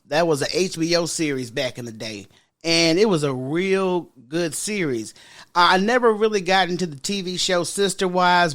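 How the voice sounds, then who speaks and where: A woman with a deep voice hosting a podcast in casual solo chat, frank and sassy, talking straight to her listeners. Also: fairly bright tone. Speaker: podcast host.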